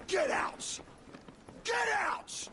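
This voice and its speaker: A man's voice: extremely slow, raised voice. A man playing an aggressive, threatening guard-like figure in a video game. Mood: angry shouting. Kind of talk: video game dialogue acting.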